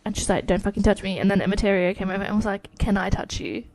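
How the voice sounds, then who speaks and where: A woman's voice: mid-range voice, fairly even intonation, quick pace, darkish tone, dry recording. A young woman co-hosting a book podcast, giggling and animatedly retelling scenes in casual chat. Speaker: podcast co-host.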